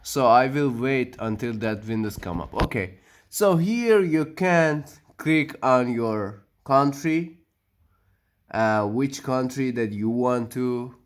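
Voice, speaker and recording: A man whose first language is Persian, narrating a computer setup walkthrough with frequent pauses, restarts and loose non-native phrasing. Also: mid-range voice. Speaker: tech tutorial maker. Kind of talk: screen-recorded walkthrough narration.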